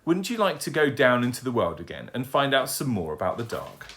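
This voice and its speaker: A weary mother barn owl from a storybook, patient but firm with her child, as a male reader voices her. Her voice is lively in pitch and motherly in manner. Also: fairly quick.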